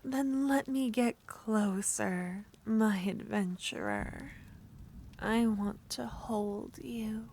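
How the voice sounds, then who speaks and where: A woman performing an ASMR roleplay as a jealous, possessive witch, speaking softly and often whispering. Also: medium pitch, animated, drawn-out words, vocal fry, a little hiss or hum. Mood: flirty.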